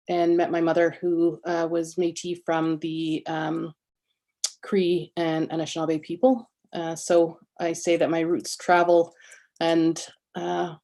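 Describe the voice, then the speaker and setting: An activist woman on an online community panel, feeling her way through an introduction in a level, lowish voice. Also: a little mellow. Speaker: panel guest, activist and organizer.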